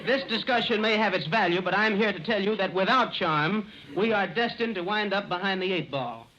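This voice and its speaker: A man's voice: sits high, brisk, crowd noise, close to an everyday voice. An actor playing a pompous, bookish man who stiffly tries out slang in precise, flowery words, in old-time radio comedy style. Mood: earnest. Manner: pedantic, over-precise, flowery vocabulary. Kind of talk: 1930s-40s radio comedy acting. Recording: noisy, muffled old radio recording.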